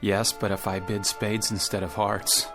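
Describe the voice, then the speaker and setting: An actor playing a skeptical, logical gentleman in a radio drama, reasoning in a precise, articulate way. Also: lowish voice, little pitch movement, unhurried, over music. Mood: rueful, good-humored. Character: skeptical, logical club member arguing over cards.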